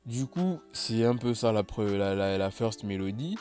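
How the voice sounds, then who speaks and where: A man with a slight cold explaining how he built a beat, in a relaxed, chatty way with frequent hesitations. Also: fairly low pitch, measured pace, over loud music. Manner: laid-back, chatty, many hesitations. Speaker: beatmaker walking through his own production. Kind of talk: casual tutorial narration.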